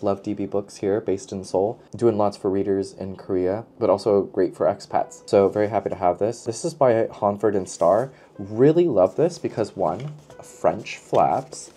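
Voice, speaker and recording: A man's voice: fairly low pitch, dark tone, a little hiss or hum. A man talking casually to camera as a book vlogger, playful and emphatic, often repeating a phrase for effect.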